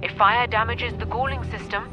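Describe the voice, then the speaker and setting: A woman's voice: fairly high pitch, steady tone, normal speed, over music, close to an everyday voice. A woman playing a coolly authoritative space station director in a video game, formal and controlled. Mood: insistent. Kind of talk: video game dramatic acting.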